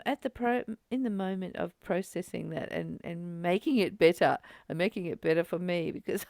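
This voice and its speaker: A high female voice with plenty of fry in it, recorded very dry and close. She leads a reflective spiritual discussion, open and confiding. She often repeats a phrase to give it weight. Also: a little mellow, no room sound. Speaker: host of a spiritual book study.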